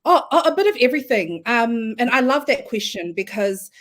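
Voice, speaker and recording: A woman guest on a podcast, talking in a relaxed, rambling way and often circling back mid-sentence. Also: fairly high pitch, moderate pace. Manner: relaxed, rambling, lots of fillers and restarts. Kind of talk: casual chat.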